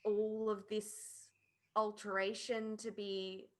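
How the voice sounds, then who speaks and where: A woman's voice: medium pitch, little pitch movement, slow pace. A woman on a podcast panel, giving her opinions in casual discussion of pop culture.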